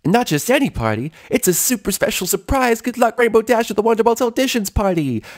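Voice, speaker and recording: A man voicing a boisterous, excitable young female party pony in a storybook reading. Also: high voice, fast, pitched above the performer's own voice. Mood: excited, cheering. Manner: squealing, excitable, exclaiming.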